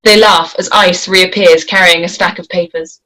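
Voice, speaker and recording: A woman narrates a script at a table read, delivering the stage directions. Her voice sits a little lower than average. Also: fairly even intonation, normal speed, pushed and bright, low-quality recording.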